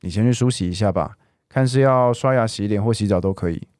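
Actor as caring, low-voiced man around forty. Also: fast talker, a little mellow, no echo, studio quality, lightly acted. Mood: easygoing, encouraging. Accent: Taiwanese Mandarin. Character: caring, health-conscious man around forty, easygoing houseguest. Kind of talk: radio drama dialogue.

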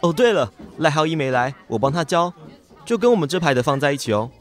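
Man acting a lively, teasing schoolboy, Taiwanese Mandarin. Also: a little high, quick pace, natural voice. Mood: breezy.

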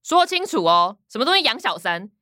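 An actress playing a curt, scornful young adult elder sister in a radio drama, with a Taiwanese accent. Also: female voice, a little high, very animated, brisk, bright, forceful tone, no room sound, studio quality. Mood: scornful, angry. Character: sharp-tongued adult elder sister, a bank professional.

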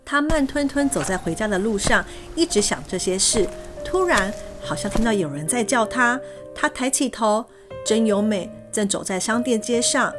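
A woman with a Taiwanese Mandarin accent narrating a storybook for children in a gentle, even read-aloud manner. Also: medium pitch. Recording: faint music underneath.

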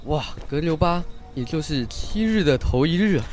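An actor playing an anxious, doubting older village man in a radio drama, with expressive sighs and exclamations, as in a biblical tale. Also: a little high, normal speed, faint music behind, lightly acted. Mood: troubled, doubtful.

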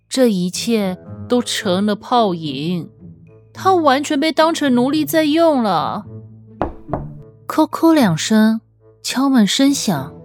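A woman reading scripted fiction as an audiobook narrator, with an expressive, measured, dramatic storytelling delivery. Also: fairly high pitch. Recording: music under the voice. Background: background music.

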